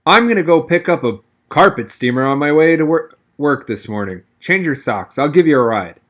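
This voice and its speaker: A man's voice: fairly high pitch, expressive, normal speed, a bit bright. A man voicing an amused, unperturbed father in an audiobook, teasing and proud of his son. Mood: easygoing.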